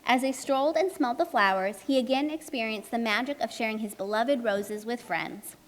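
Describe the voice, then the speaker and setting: High-voiced woman storyteller in echoey hall. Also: a little fast. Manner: expressive, addresses young children with simple questions. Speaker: puppet show narrator and children's presenter. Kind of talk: children's storytelling to a live audience. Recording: echoey hall, live show.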